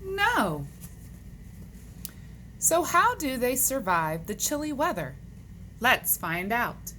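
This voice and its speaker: A woman's voice: on the high side, big pitch swings, slow pace, bright, forceful tone. A woman reading a children's book aloud, speaking to young listeners in a question-and-answer manner, on a noisy recording.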